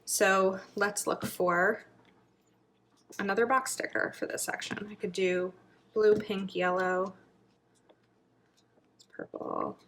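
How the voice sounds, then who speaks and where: A woman chatting casually while decorating her planner, thinking aloud and sometimes dropping to a murmur, her throat a little raspy from a long filming session. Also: medium pitch, sing-song, takes it slowly, pauses often, fairly bright tone. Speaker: planner vlogger. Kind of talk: casual planning video chat.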